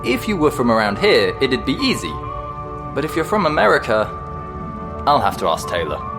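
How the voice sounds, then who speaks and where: A man's voice: medium pitch, wildly swooping pitch, moderate pace, music in the background. An actor playing a polite, slightly nervous male ghost in an audio drama, chatting in mild understatement. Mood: eager, uncertain. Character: friendly, nervous, polite male ghost, matter-of-fact about danger.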